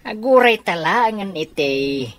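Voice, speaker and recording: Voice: mid-range voice, lively intonation, unhurried, no echo, natural voice. A performer with an Ilocano accent playing an old husband and grandfather-to-be in a radio comedy drama. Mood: curious, surprised.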